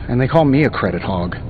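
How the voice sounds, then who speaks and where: An actor playing a cocky middle-aged inventor superhero in a video game, glib, casual and sarcastic. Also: male voice, lowish voice, slight background noise. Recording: dull lo-fi audio under loud music.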